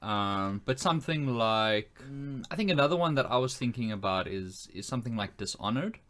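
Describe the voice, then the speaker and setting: A man's voice: mid-range voice, animated, brisk, a bit bright. A man co-hosting a podcast, talking casually and searching for his words as he makes his points. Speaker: podcast co-host. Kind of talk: casual chat.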